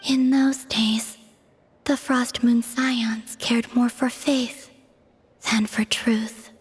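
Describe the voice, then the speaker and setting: Melancholy moon goddess for a game: high, whispery, unhurried, poetic and detached. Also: female voice, flat delivery, a little hiss or hum. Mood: sorrowful, resigned. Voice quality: soft, breathy, whispery. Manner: slow, poetic, measured. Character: young female moon goddess, solemn, melancholy, enigmatic, detached. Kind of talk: English video game voice acting. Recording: game music behind the voice. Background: background music.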